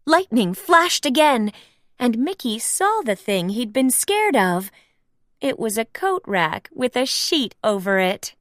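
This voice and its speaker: Slow, animated woman storybook narrator. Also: fairly high pitch, dry recording, studio quality. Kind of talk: expressive children's read-aloud narration.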